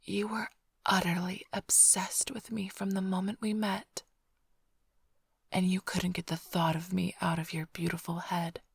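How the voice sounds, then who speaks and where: A woman as a breathy, languid flirtatious vampire thief. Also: lowish voice, level tone, a little slow, dry recording, full character voice. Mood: teasing, nostalgic. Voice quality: breathy, often sinking into whisper. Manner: teasing, languid, mock-affectionate drawl. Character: flirtatious immortal female vampire thief, teasing and smug. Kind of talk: ASMR roleplay, addressing the listener.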